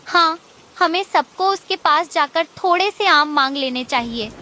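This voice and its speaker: A woman voicing a hungry female forest bird, earnest and imploring, in an animated children's story. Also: very high-pitched, a little slow, heavily acted. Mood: worried.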